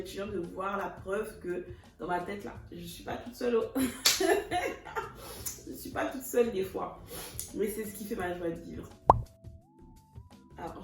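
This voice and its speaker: A woman's voice: lowish voice, recorded from a distance. A woman in her thirties from Kinshasa, introducing herself in a casual, chatty vlog, often laughing and slipping in English words. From Congo (Kinshasa). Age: 30s. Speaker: vlogger. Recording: echoey room, voice a bit off-mic, music behind.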